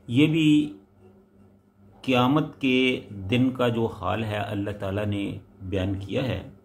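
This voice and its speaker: A man preaching a sermon. He recites a verse, then explains it in short, measured phrases that rise for emphasis. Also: medium pitch, fairly even intonation, gravelly, slight background noise.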